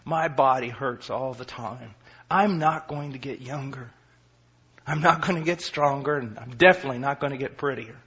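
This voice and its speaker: A man in his forties preaching a sermon with passion. He moves from quiet, low passages to shouted peaks and mixes in self-deprecating humor. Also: normal speed, husky. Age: 40s. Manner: impassioned, swinging from quiet to shouting. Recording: dull lo-fi recording.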